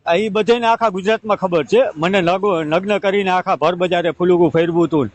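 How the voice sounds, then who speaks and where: A man's voice: high-pitched, fairly quick. A man with a Saurashtra Gujarati accent pleading insistently and repeating his request on a recorded phone call. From Gujarat, India. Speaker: caller seeking help.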